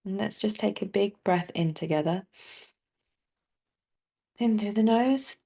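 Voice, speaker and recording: A woman leading a guided body scan meditation as a teacher, speaking softly and soothingly with long, unhurried pauses. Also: medium pitch, creaky, breathy edge.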